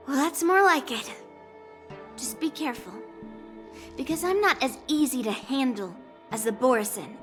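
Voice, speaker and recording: Casting: bright, high, smug young swordswoman, slow teasing delivery. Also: animated, soft background music. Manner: teasing, laughs often, smug. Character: cocky young female swordswoman, teasing and bold. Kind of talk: game cutscene voice acting.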